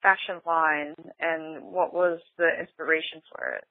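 A woman's voice: low-pitched, fairly even intonation, unhurried, no room sound. A woman interviewer asking short, upbeat questions. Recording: tinny phone-line sound, dry.

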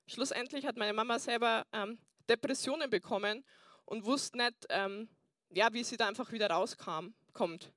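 A woman's voice: a little high, moderate pace, fairly bright tone, no room sound, studio quality. A young woman pastor preaching a sermon and telling her personal testimony, earnest with frequent fillers. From Bavaria, Germany.

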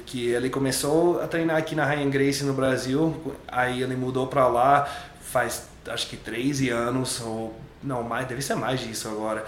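A young adult man, English-native, talks in a relaxed, rambling way and keeps repeating himself. His voice sits in the middle of the range. An echoey room with faint ambience is behind him. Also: medium pitch, a little hiss or hum. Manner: loose and chatty, repeats and restarts words. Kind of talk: relaxed interview chat.

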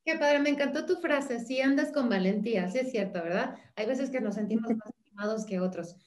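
A Mexican woman hosting an interview, talking in halting bursts full of fillers and restarts, gushing with thanks. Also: on the high side, a little mellow, roomy sound. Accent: Mexican Spanish. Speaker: interview host. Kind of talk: casual interview chat.

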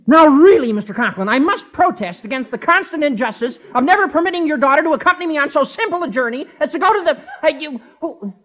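A man playing an eager, excitable high school boy with a high, squeaky adolescent voice in a radio comedy. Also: fast talker, hardly any pauses. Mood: flustered, eager. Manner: gushing, over-polite, breaks into nervous laughter. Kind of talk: 1950s radio comedy acting. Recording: dull old radio recording, studio audience.

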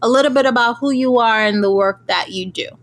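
A woman's voice: on the high side, fairly quick. A woman from Trinidad and Tobago hosting her podcast, introducing a guest and chatting with him casually. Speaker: podcast host. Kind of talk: casual interview.

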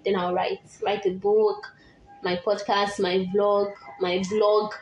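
A woman's voice: medium pitch, level tone, slow pace, reverberant, muffled recording, soft background music. A young Nigerian woman hosting a podcast, chatting and teasing her friend with frequent laughs. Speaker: podcast host. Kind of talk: casual chat with a friend. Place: room in a village house.

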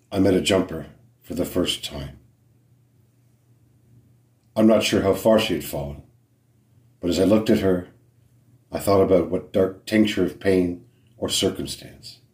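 A man with a low, deep voice recites verse in a solemn, measured way. He moves very slowly, leaving dramatic pauses between phrases, and the room around him rings with echo. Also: darkish tone. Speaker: poet.

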